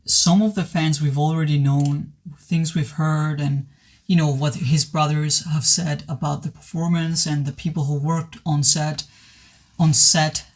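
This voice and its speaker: A man giving a casual film review to camera, his voice worn and hoarse after being ill, talking earnestly with many halting restarts. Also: a little high, little pitch movement. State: voice worn from recent illness. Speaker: movie reviewer.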